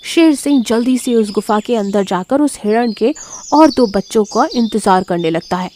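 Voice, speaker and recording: A woman's voice: on the high side, animated, normal speed, warm tone, a little hiss or hum. A woman giving scripted narration of a children's moral story.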